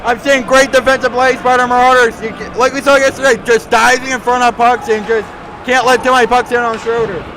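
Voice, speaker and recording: A man giving quick, offhand analysis as a guest on a hockey broadcast. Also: extremely high pitch, level tone. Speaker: guest commentator. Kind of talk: casual analysis. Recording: loud crowd and background noise.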